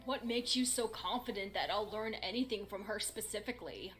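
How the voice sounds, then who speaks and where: A woman's voice: medium pitch, brisk, a bit bright, faint music behind, close to an everyday voice. A woman voicing a stubborn young female unicorn student, indignant and grudging, in an audio story. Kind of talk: dramatic fan-fiction audio reading.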